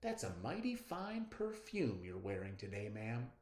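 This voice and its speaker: Reader playing a gentle, lilting blind gentleman. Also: mid-range voice, wildly swooping pitch, measured pace, echoey room. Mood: genial. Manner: courteous, folksy. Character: blind man on bus, gentle, courteous, reflective.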